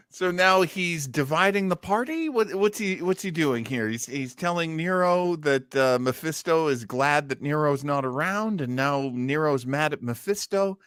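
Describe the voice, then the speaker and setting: Male podcast host, higher voice, animated, dry close sound, casual. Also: a little slow, clean tone, no room sound. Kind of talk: casual reaction chat.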